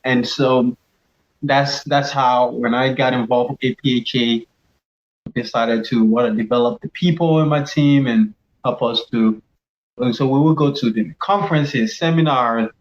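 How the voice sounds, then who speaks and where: A man's voice: medium pitch, steady tone, unhurried. Man from Cameroon, middle-aged, earnest interview guest; dull audio. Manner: reflective, earnest, with many restarts and fillers. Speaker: pharmacist and leadership coach, guest. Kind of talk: casual interview answers.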